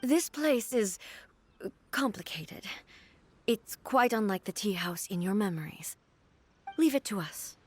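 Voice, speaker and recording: A woman voicing a composed, formal female ruler in a game, speaking calmly and with measure. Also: mid-range voice, a little slow, pauses often. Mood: solemn. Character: regal, composed female ruler, calm and solemn.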